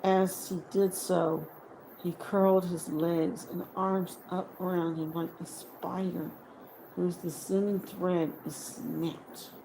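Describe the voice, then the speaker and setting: A woman reading fiction aloud as a dramatic audiobook narrator, deliberately and in short phrases. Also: lowish voice, level tone, vocal fry, background noise. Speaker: audiobook reader.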